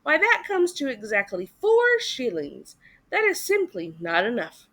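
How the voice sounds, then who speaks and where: Lady doing a puffed up storybook toad, excitable and self-important, voice leaps way up high. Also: unhurried, heavily acted. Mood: haggling. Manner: exclamatory, self-important, haggling. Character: boastful, excitable, conceited male toad from a storybook.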